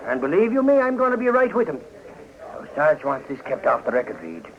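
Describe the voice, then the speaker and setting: An actor playing a hot-headed, boastful Irish reporter in 1940s radio style, blustery and folksy. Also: male voice, a little high, huge pitch swings, fairly quick. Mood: eager, confiding. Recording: dull, lo-fi 1940s radio recording.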